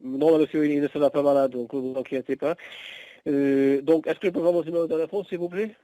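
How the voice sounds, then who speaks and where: A man's voice: on the high side, fairly even intonation, fast talker, dark tone, no room sound, poor audio. A man working as a hotel receptionist on a customer service call, polite, patient and hesitant, often repeating himself.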